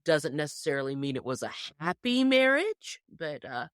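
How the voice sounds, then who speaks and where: A woman's voice: sits low, sing-song, normal speed, clear, resonant tone, no echo, studio quality. A woman podcast host explaining things in an informal discussion.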